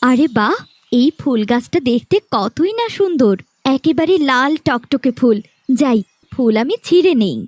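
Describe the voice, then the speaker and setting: A woman's voice: high voice, expressive, normal speed, no echo. A woman voicing an innocent, earnest young village girl in a fairy-tale cartoon. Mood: admiring.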